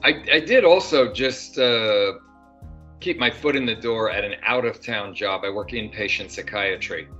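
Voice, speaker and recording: An older man talks at a low pitch with a bright, forward edge to his voice. He rambles, stopping and restarting his sentences with plenty of ums. The sound is dull and lo-fi, with faint music underneath. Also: a little slow. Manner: rambling, many fillers and restarts. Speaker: actor guest. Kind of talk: casual interview.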